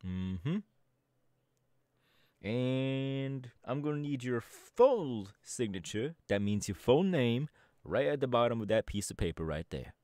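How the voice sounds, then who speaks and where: A man's voice: mid-range voice, lively intonation, takes it slowly, a little mellow, no room sound, clean studio sound. A man voice acting a laid-back young male DJ gang boss in an ASMR audio roleplay, talking in an easygoing, chatty drawl. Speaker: voice actor.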